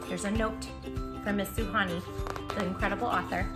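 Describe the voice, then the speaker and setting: A woman's voice: mid-range voice, fairly even intonation, a little fast. A woman hosting a children's storytime, reading aloud expressively with dramatic emphasis and speaking directly to young listeners. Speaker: children's book read-aloud host. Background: music.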